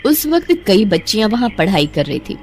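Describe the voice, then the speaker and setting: A woman's voice: mid-range voice, sing-song, normal speed, a little mellow. A woman storyteller giving scripted narration of a children's bedtime story. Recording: music behind the voice.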